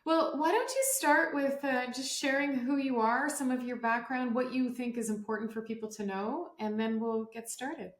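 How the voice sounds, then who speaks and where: A woman's voice: a little high, normal speed, few pauses. A woman hosting an interview conversationally, searching for her words as she goes. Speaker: interview host, coaching academy founder. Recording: roomy, echoey sound.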